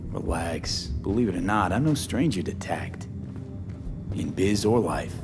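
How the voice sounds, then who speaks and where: A man's voice: sits low, expressive, measured pace, music in the background. A man acting in a video game as a wry, guarded street mercenary who talks informally.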